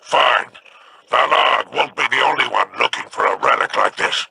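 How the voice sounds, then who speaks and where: An actor voicing a sly, scheming male alien crime lord in a video game, gravelly and wry with insinuating remarks. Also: medium pitch, moderate pace, bright, forceful tone, dry recording, full character voice.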